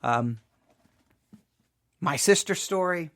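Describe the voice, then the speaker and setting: A man's voice: on the high side, expressive, slow, fairly bright tone, no echo, studio quality. A man hosting a podcast, talking informally about personal matters in an emphatic way, often repeating phrases for emphasis. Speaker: podcast host. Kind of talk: informal talk and personal commentary.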